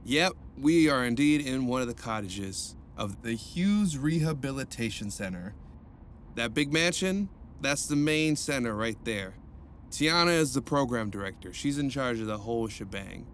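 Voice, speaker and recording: Actor as a cheerful, joking male counselor, lively pitch, faint background noise. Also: natural voice. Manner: playful, jokey. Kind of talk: audio drama acting.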